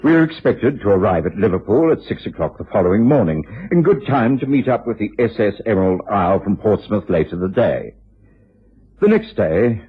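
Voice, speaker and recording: An actor playing a genteel English gentleman who narrates a detective story in a vintage radio drama, articulate and measured, with an English accent. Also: fairly low pitch, slightly rough, exaggerated voice. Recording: tinny old radio recording, music behind.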